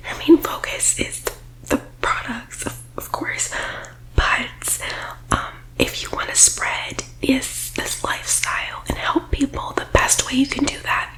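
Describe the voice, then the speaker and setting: A woman whispering breathily in a persuasive ASMR roleplay, like a team leader giving a sales pitch, close to the microphone. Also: big pitch swings, a little slow, sharp tone, low-quality recording.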